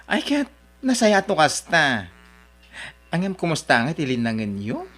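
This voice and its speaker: Chatty Ilocano woman with lively tone. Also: sits low, unhurried, faint music behind. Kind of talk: Ilocano audio drama acting.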